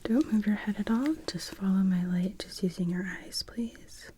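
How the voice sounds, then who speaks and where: A woman whispering softly and breathily as a doctor in an ASMR medical roleplay, giving gentle, reassuring, unhurried instructions. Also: mid-range voice, slight background noise. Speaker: ASMR performer playing a doctor.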